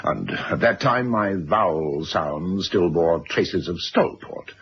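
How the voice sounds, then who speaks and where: A man's voice: low-pitched, lively intonation, slow pace, heavily acted. A middle-aged man playing a brusque, self-made press magnate and stern father in a radio drama, curt and pompous. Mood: self-satisfied. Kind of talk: 1970s radio drama acting. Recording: dull, noisy vintage radio recording.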